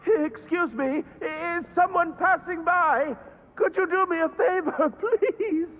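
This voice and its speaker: A man's voice: very high-pitched, slow pace. An actor, a man, playing a timid, flustered, polite game character who stammers hesitantly. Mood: pleading, timid. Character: timid, flustered, polite stranger hiding in a box. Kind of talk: animated video game voice acting. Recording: muffled, thin phone-like band.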